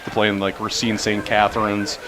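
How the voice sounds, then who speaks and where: A man who coaches high school basketball, talking earnestly and upbeat as a radio guest. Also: completely flat delivery, fairly quick. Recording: busy bar crowd behind. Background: bar crowd chatter.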